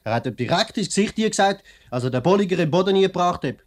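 An actor playing a loyal, emphatic factory worker in a 1950s Swiss German dialect radio play. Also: male voice, high voice, lively intonation, fast. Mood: gleeful. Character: loyal, enthusiastic factory worker praising his comrade.

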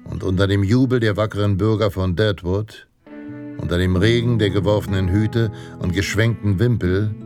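An actor narrating a Western hero fantasy in a radio play, in a grave, heroic, deliberate manner. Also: male voice, lowish voice, steady tone, lightly acted. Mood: solemn, admiring. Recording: loud guitar music underneath. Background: Western guitar music.

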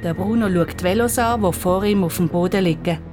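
Female narrator, Swiss accent, slightly low voice, reading a children's adventure story with clear background music. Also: a little fast.

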